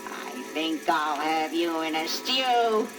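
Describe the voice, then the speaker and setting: A woman's voice: sits low, animated, music in the background. An actress playing a scrawny, wicked old witch who gloats greedily with a cackle on a children's story record. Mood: gloating, menacing. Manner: slow, gloating, menacing delivery.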